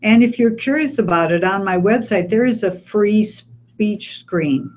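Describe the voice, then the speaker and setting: A woman with a Midwestern American accent, a speech pathologist guest on a radio interview, explaining things in a measured, step-by-step way. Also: medium pitch, a little mellow. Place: radio studio.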